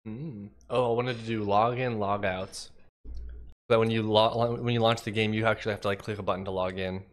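A man's voice: on the low side, fairly even intonation, a little fast. A young man from Washington state with a slightly nasal, congested voice and a cough, chatting casually with his livestream chat while he codes. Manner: chatty, thinks aloud, reads chat aloud. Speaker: livecoding streamer.

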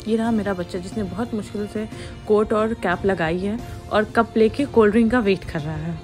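A woman's voice: medium pitch, brisk, a little mellow. A woman in her thirties narrating her family's camping trip as a vlog voice-over, chatty and mixing in English phrases. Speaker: vlogger. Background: faint music under the narration.

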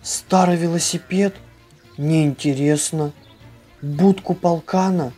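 A storyteller reads for children in an expressive way. The pace is slow and the pitch sits in the middle, with music playing behind the voice. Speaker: storyteller and channel host.

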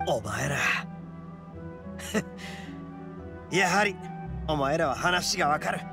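An actor playing a brash, proud young male oni gang boss in a video game, with rough, cocky, emphatic speech. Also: fairly high pitch, expressive, moderate pace, over music. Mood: confident, spirited. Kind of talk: dramatic video game voice acting.

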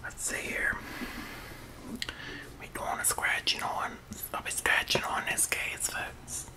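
A young man whispering breathily as an ASMR host, halting and folksy, repeating his phrases close to the microphone. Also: poor audio. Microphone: close studio microphone with foam cover.

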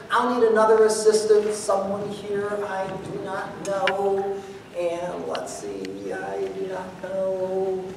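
A man's voice: high voice, fairly bright tone, slight background noise. A middle-aged man presenting a maths magic act on stage in a playful, theatrical, showman-like way. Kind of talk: live stage show patter. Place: auditorium stage. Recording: echoey hall recorded from far off.

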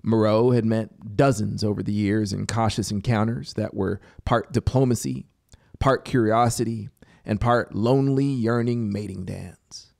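A man in his thirties giving a dramatic, deliberate story narration into a close studio microphone. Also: fairly low pitch, steady tone, gravelly, a little mellow. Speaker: audiobook narrator. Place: small home music studio. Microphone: close studio microphone with headphones. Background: synthesizer soundscape music.

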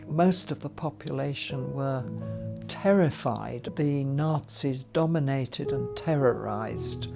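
An older woman from Germany giving documentary interview testimony, measured and reflective, with hesitations. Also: a bass voice, creaky. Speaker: Holocaust survivor interviewee. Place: book-lined study indoors.

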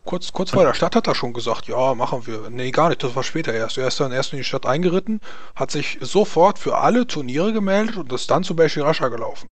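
A man playing a simple, earnest adventurer in a tabletop roleplay, halting and often repeating himself. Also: medium pitch, lively intonation, no echo, lightly acted. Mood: eager explaining. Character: naive, eager, simple-minded male adventurer.

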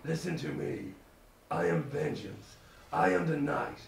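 A man voicing a brooding masked vigilante in a deep, gravelly whisper. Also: animated, measured pace, recorded from a distance, exaggerated voice. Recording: roomy with music behind.

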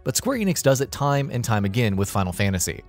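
A man's voice: mid-range voice, big pitch swings, fast, soft background music. A man reading a scripted video narration as the narrator.

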